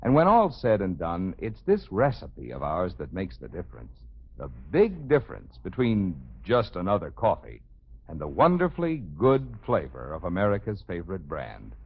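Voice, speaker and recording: A male 1950s radio commercial announcer with a husky, lowish voice. He sells emphatically and folksily, his pitch swinging widely. The recording is old and dull. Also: wildly swooping pitch, moderate pace.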